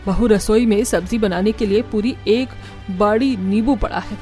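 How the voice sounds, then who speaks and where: A bossy older mother-in-law played by a woman in a cartoon story. She scolds and gives orders sternly. Soft music runs behind her. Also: mid-range voice, normal speed, lightly acted. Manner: scolding, commanding.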